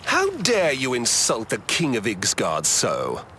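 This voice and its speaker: A man's voice: medium pitch, wildly swooping pitch, slow, pushed and bright, slight background noise, exaggerated voice. A man playing a scheming, arrogant trickster god-king in a video game, pompous and mocking. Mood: indignant.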